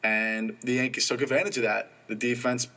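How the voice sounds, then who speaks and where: A young man co-hosting a college sports radio show, reading off stats in casual, excitable talk. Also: mid-range voice. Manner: rattles off stats quickly, excitable.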